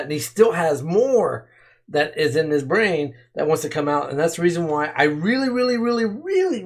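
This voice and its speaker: A man hosting a reaction video, talking casually and with enthusiasm, repeating words for emphasis. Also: a little high. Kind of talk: casual commentary.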